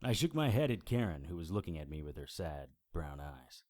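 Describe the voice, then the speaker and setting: A man's voice: sits low, brisk, dry recording. An actor playing a dry, wry male occult investigator who narrates his case in an audio drama. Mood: grim, wry. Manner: deadpan, wry. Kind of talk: first-person detective audio drama narration.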